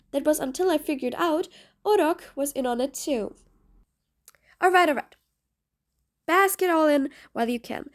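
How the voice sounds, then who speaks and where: Woman acting a high-voiced, sardonic female supervillain. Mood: wry, grudging. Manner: bossy, sardonic, threatening with grudging fondness. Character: mean but patient female supervillain, mocking and menacing. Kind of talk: scripted audio roleplay addressed to the listener.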